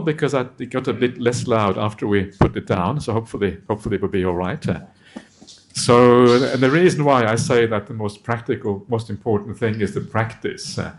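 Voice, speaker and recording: A man with a lowish voice gives a Buddhist teaching to a seated group in a hall. He speaks as a monk teacher in a relaxed, chatty way, chuckling often as he goes. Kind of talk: informal dharma talk to a live group.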